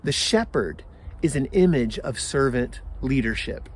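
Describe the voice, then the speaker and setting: Slow, deliberate American pastor preaching. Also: male voice, mid-range voice, animated, breathy edge. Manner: measured, deliberate phrasing with pauses. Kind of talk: sermon for an online service. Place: outdoors in a garden.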